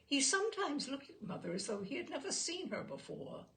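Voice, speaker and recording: A woman reading a literary short story aloud as a storyteller, in an animated, theatrical manner. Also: high-pitched, a little fast, creaky.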